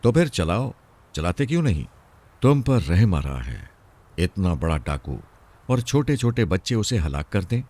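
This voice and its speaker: A man's voice: deep voice, sing-song, unhurried, mellow, exaggerated voice. A man voicing a cheeky, exasperated boy who taunts crooks in a suspense story. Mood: taunting.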